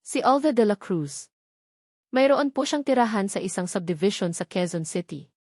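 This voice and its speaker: A woman's voice: mid-range voice, expressive, moderate pace, darkish tone, no room sound, clean studio sound. A woman voicing a deferential male investigator reporting formally to his boss in a narrated story. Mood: respectful, eager. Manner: formal, polite.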